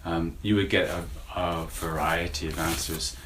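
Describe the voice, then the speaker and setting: A man in his forties from the United States with a slightly Scottish-tinged American accent, answering interview questions thoughtfully and with many pauses. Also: low voice, fairly even intonation, background noise. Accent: American with Scottish influence. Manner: thoughtful, hesitant with many fillers. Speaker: university lecturer.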